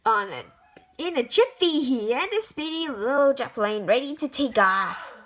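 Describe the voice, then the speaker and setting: A woman reading a children's picture book aloud, storytelling with lively emphasis and the occasional stumble. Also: high voice, normal speed.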